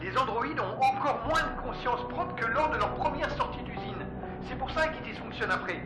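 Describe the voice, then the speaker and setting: A woman's voice: on the high side, expressive, brisk, close to an everyday voice. A woman playing an earnest, stubborn male robotics scientist in an audio drama, insistent and urgent. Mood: excited, urgent. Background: music.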